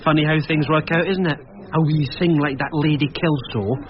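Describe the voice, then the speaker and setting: High-voiced eager young constable played by a man. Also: fairly even intonation, normal speed, lightly acted. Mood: eager, unsure. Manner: hesitant, questioning. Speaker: actor in a radio crime drama. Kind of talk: British radio drama acting. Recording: muffled radio recording with crowd chatter.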